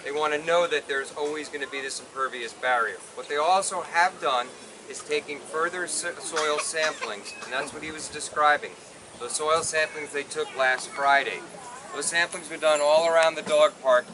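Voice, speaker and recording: A man's voice: fairly high pitch, normal speed. A man explaining things plainly and emphatically at a public meeting, repeating his key points. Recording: noisy public meeting with urban ambience.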